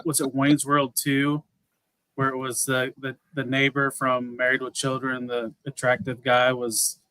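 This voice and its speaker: A man's voice: mid-range voice, monotone, unhurried, pushed and bright, smooth, no echo, rough recording. Joking man chatting late at night. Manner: joking, teasing. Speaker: guest. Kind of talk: casual chat.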